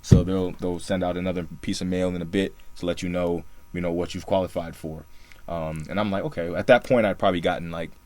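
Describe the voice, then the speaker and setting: A man's voice: low-pitched, fairly even intonation, a little fast, darkish tone, dry recording. A young man from Singapore co-hosting a podcast, telling his story in a laid-back way with lots of fillers. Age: young adult. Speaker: podcast co-host. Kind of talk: casual podcast chat.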